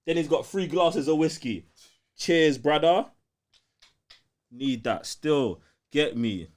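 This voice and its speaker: Animated London man hosting a podcast. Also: on the high side, takes it slowly. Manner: slangy, animated. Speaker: podcast host. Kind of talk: casual live podcast banter.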